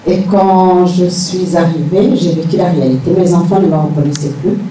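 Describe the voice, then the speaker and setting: A woman's voice: lowish voice, fairly even intonation, moderate pace, mellow, a little hiss or hum. A middle-aged woman gives a personal religious testimony, telling her story earnestly and repeating phrases for emphasis. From Rwanda. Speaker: church member giving testimony. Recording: echoey room, distant mic, music.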